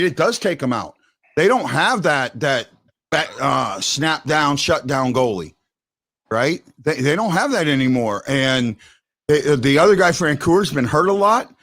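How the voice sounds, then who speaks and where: Man, show host, loud, excitable, rambling, restarts sentences, slightly high voice. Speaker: podcast host. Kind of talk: heated hockey fan call-in talk. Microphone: headphones.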